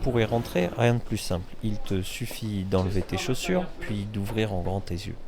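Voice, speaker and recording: A man narrates his travel vlog in a low, easygoing voice with a wry edge, talking directly to the viewer. Music plays clearly beneath the voice-over. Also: moderate pace. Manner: relaxed, wry commentary addressed to the viewer.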